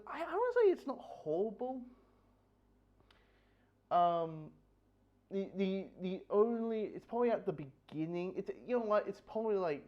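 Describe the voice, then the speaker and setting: Halting man reviewing, slightly high voice, dull audio. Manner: halting, rambling, repeats words and restarts often. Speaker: book review host. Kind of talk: casual unscripted review chat.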